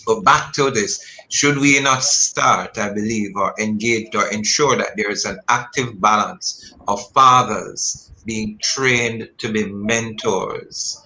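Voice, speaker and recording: Earnest radio host, bright voice. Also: male voice, fairly low pitch, takes it slowly, poor audio. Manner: earnest, probing questions, reads listener messages. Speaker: radio talk show host. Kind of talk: radio talk show discussion. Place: radio studio.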